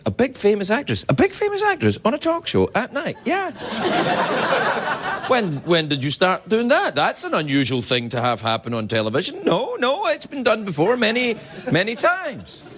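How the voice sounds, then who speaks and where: A man's voice: high-pitched, wildly swooping pitch, moderate pace, fairly bright tone. A Scottish man hosting a late-night talk show, joking, self-mocking and rambling as he chats with a guest. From Scotland.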